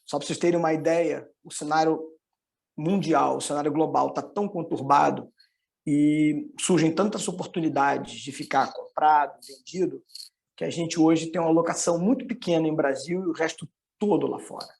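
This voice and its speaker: Middle-aged Brazilian man, Carioca accent, economist presenter. Slightly high pitch with marked creak, conversational and explanatory. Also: normal speed, darkish tone. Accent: Carioca (Rio de Janeiro) Brazilian. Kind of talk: informal online presentation with slides.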